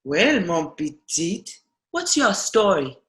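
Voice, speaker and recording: A woman's voice: lowish voice, very animated, slow pace. A woman playing a cool, defiant prisoner in an irreverent radio play, wry and commanding, in a roomy echo. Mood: wry, curious.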